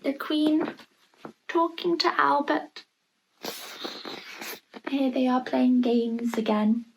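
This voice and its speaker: A woman reading a children's picture book aloud in an expressive storytelling manner, pausing to point out the pictures. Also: high voice, creaky, a little hiss or hum, rough recording. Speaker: storybook reader.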